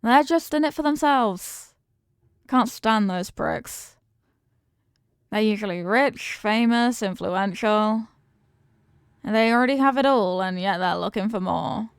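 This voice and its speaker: A woman's voice: medium pitch, slow, pauses often, clean tone, no room sound, clean studio sound. A woman playing a confident, affectionate mafia boss in an intimate roleplay, teasing and streetwise, with slangy talk and pet names. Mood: contemptuous. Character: confident, doting female mafia boss, tough but affectionate. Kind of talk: intimate ASMR-style roleplay acting.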